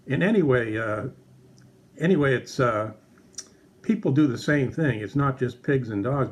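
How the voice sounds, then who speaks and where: A man's voice: medium pitch, darkish tone. A man presenting a casual Bible lesson for children in a puppet show, explaining things simply and conversationally.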